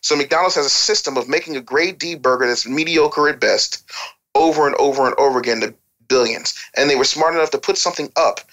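A man's voice: a little high, brisk, fairly bright tone, low-quality recording. An American man giving opinionated, unscripted advice as a podcast guest, blunt, profane and scolding.

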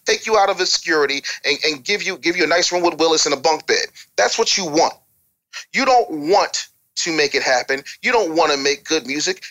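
A man's voice: sits high, pushed and bright. An American man giving opinionated, unscripted advice as a podcast guest, blunt, profane and scolding.

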